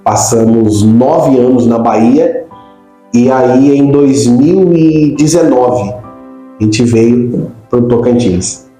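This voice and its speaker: A man's voice: mid-range voice, slow pace, warm tone. A middle-aged Brazilian man from Rio de Janeiro state, a pastor explaining things conversationally as an interview guest. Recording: very echoey room, faint music.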